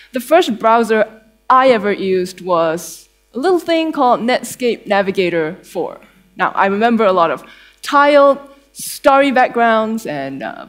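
A young woman speaks with a Malaysian accent in a fairly high voice. She presents at a tech conference in a conversational style, with playful, dry humour. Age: young adult. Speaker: conference speaker, developer advocate. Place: theatre stage before an audience.

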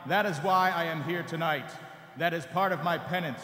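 A man's voice: on the high side, moderate pace, pushed and bright. A man plays a solemn male ghost in a staged radio drama. His declamatory, mournful warnings come in a raised voice, echoing through a large hall. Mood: mournful, urgent. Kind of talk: staged radio play reading. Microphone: stand microphone. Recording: echoey theatre PA, long reverb.

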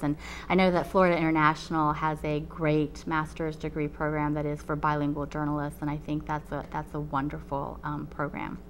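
A low-pitched woman professor speaks in a measured, explanatory way, often pausing on "um". Her first language is Chinese. She sits in a TV studio, and a faint hum runs under the voice. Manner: measured, explanatory, frequent ums. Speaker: college professor. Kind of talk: recorded talk to a webinar audience. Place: TV studio with a painted backdrop.

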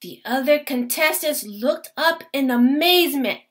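A woman teacher reading a children's picture book aloud to her class. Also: high-pitched. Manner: slow, expressive storytelling.

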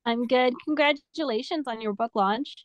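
A woman's voice: fairly high pitch, moderate pace, no room sound. A young adult woman chatting casually as a guest on a video call. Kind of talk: casual chat. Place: small indoor room on a video call. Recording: dull, lo-fi video-call audio.

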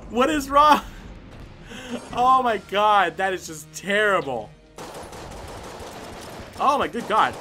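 A high-voiced man hosts a gameplay video with casual, excited commentary. His delivery is bright and swings up and down, full of exclamations and swearing aimed at himself and the game. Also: slow pace, long pauses. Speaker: gaming video host. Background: game music.

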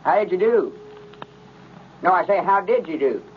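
Earnest, folksy old Southern storekeeper, vintage radio comedy, very narrow tinny sound. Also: male voice, sits high, expressive, moderate pace, background noise. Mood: friendly, on phone. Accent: rural Southern US. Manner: rustic, homespun talk. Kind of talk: 1930s radio comedy acting.

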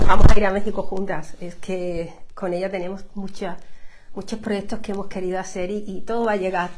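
A middle-aged woman from Andalusia with an Andalusian accent, chatting effusively with viewers on a home livestream, with many restarts and fillers. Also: mid-range voice. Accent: Andalusian Spanish. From Andalusia, Spain. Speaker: singer-songwriter hosting a livestream. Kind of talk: casual livestream chat with viewers. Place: living room at home, indoors. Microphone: laptop or phone camera at close range.

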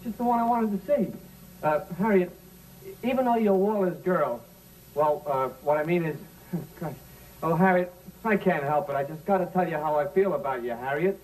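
A man playing a goofy, bashful, slow-witted teenage schoolboy in a 1950s sitcom, halting and gushing. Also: on the high side, darkish tone, far from the mic, natural voice, rough recording. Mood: bashful, flustered. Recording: old television soundtrack, off mic.

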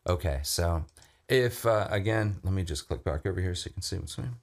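Low-voiced man, relaxed studio walkthrough. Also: fairly quick. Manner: relaxed, rambling, many fillers and restarts. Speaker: vocalist demonstrating a vocal effects chain. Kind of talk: casual screen-recorded tutorial. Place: home music studio with drums and keyboards. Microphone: studio condenser mic with headphones.

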